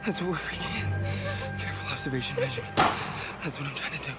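A panicked man under loud film music. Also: on the high side, huge pitch swings, fast. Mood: muttering. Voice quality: tense, muttering to himself. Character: overwhelmed man frozen in panic. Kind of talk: film drama acting.

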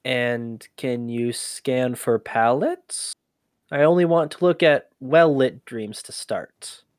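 An actor playing an inquisitive human archivist in an audio drama, with a conversational, halting, often exasperated manner. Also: medium pitch, dry recording, clean studio sound, natural voice. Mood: hesitant, focused. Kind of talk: scripted audio drama acting.

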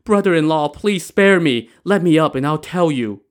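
A man's voice: on the high side, animated, moderate pace, smooth, pitched above the performer's own voice, close to an everyday voice. A man voicing an accused, frightened young wife in a story, protesting and begging.

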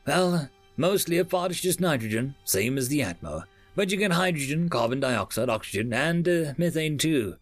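A man's voice: on the high side, animated, normal speed, few pauses, no room sound, soft background music, voice pushed up. A man voicing a nervous young male technician who explains things earnestly.

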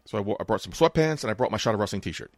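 A man in his late thirties talks fast and casually on a podcast, swearing as he tells stories. His voice sits a little low and sounds dry, with no room around it. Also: expressive, no room sound. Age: 30s. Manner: swears freely, tells anecdotes with quoted dialogue. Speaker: podcast co-host. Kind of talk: casual chat.

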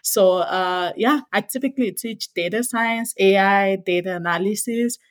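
A woman's voice: medium pitch, a little slow, no echo. A Nigerian woman guest chatting about her work on a podcast, the sound close and dry. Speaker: podcast guest, data scientist and technical instructor. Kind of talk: casual interview talk.

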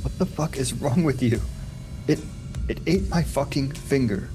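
A frazzled scientist in his forties, played by a man at a middling pitch. He is groggy and sweary, in a screenplay table read. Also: a little slow, warm tone, natural voice. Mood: angry, panicked.

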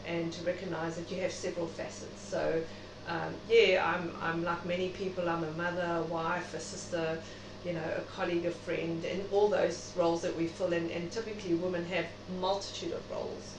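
A woman's voice: lowish voice, level tone, normal speed, few pauses. A middle-aged South African woman speaking reflectively and chattily as a guest in an interview. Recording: noisy, echoey room, distant mic.

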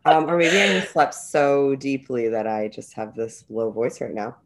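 A woman's voice: rumbling low, fairly even intonation, normal speed. A young woman co-hosting a podcast, talking casually and rambling with lots of "like.". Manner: rambling, many "like" fillers, speaks in bursts. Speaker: podcast co-host. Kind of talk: casual chat.

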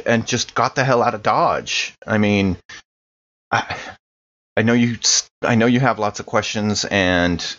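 A man playing in a tabletop roleplaying podcast, recounting events in character in a halting, shaken way on a slightly lo-fi microphone. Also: lowish voice, fairly bright tone. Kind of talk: in-character first-person recounting.

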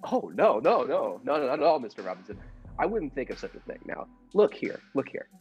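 Man playing timid henpecked husband, radio comedy, nervous stammer, swooping pitch, dull recording with music behind. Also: sing-song, a little fast, close to an everyday voice. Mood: flustered, apologetic. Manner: stammering, flustered, fussily polite and formal. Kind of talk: old-time radio comedy play acting.

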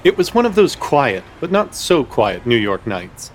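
A man's voice: mid-range voice, big pitch swings, unhurried, slight background noise. A man narrating a comic horror radio play in an ornate, mock-grave, wry storytelling manner.

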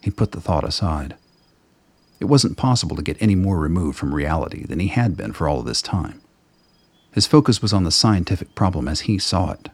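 A man reading scripted dramatic narration for a radio play in a measured, literary storytelling manner, with a gravelly, husky voice. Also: sits low, expressive, no room sound.

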